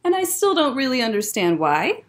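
A young woman reads picture books aloud for children. Her voice sits a little high and swings widely in pitch. She is expressive and breaks off for casual asides about the pages. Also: normal speed. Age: young adult. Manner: expressive, child-directed storytelling. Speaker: children's librarian, story time host. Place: library room lined with bookshelves, indoors.

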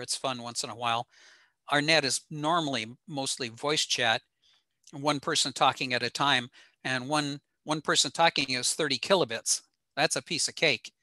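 Older male presenter, informal technical webinar, matter-of-fact delivery, bright tone, dry close sound. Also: mid-range voice, no room sound. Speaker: presenter at an amateur radio talk. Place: small room at home, on a video call.

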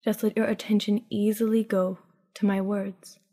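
Female meditation guide: dark, relaxed tone, very even pitch, soothing and hushed, leaving long pauses. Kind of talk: guided sleep meditation, scripted narration.

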